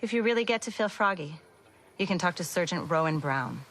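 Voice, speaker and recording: A woman's voice: lowish voice, lively intonation, fairly quick, a bit bright, slight background noise. An actress playing a blunt, protective woman who leads a community, in a video game cutscene. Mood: firm, wary. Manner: blunt, firm.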